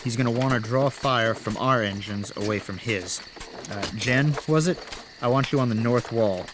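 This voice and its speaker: A man playing a blunt, weary older army general in a fantasy audio drama, with a gruff, commanding manner. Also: medium pitch, moderate pace, noisy background, lightly acted. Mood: grim, commanding.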